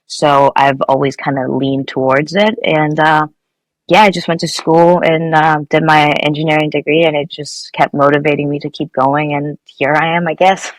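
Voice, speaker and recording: A woman's voice: low-pitched, little pitch movement, normal speed, no echo, poor audio. Young woman from nepal chatting in an interview, lots of likes and ums, dry close sound. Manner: chatty, many fillers and restarts. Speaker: guest engineer. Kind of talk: casual interview answers.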